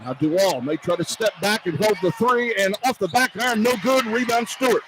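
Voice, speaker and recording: A man calling live basketball play-by-play on radio from a noisy arena, in excited, clipped phrases that follow the action. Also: high voice, fairly quick. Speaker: play-by-play announcer. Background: loud arena noise.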